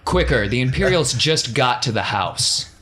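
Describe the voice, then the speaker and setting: Man playing a stern, bright-voiced, demanding uncle. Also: medium pitch, animated, a little slow. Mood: urgent, angry. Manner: curt, commanding, interrogating.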